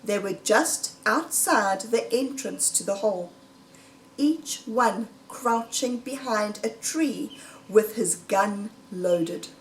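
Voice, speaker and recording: A woman reading a children's story aloud in a deliberate, dramatic, suspenseful storytelling manner. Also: mid-range voice, a little hiss or hum. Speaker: storybook reader. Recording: roomy echo, distant microphone.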